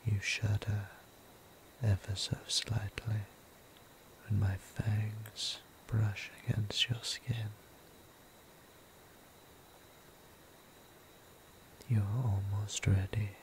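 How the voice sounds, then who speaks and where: A man playing a gentle, seductive vampire priest in an ASMR roleplay, whispering breathily with soothing, intimate, formal endearments. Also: fairly low pitch, completely flat delivery, slow pace. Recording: noticeable ambient background noise.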